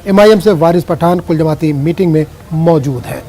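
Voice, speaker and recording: Mellow-voiced male news anchor. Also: fairly high pitch, normal speed, slight background noise. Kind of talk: broadcast news reading and questioning.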